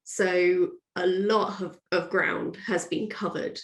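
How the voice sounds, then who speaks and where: A woman's voice: lowish voice, steady tone, takes it slowly. A woman author being interviewed, chatting casually and thinking aloud in a discursive way. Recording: roomy, echoey sound.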